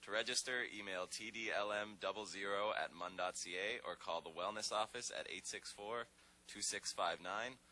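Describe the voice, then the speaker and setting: A man reading a campus public service announcement in a steady, plain way. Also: on the low side, normal speed, a little hiss or hum.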